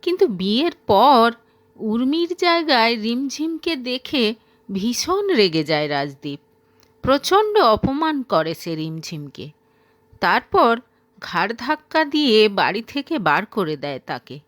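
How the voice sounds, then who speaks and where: Female audio-story narrator: high voice, unhurried pace, expressive scripted delivery. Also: no echo.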